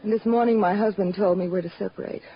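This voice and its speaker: An actress playing a wistful, lovelorn married woman in an earnest, halting, emotional 1940s radio drama style, on a muffled old recording. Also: mid-range voice, steady tone, natural voice. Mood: pleading, anguished.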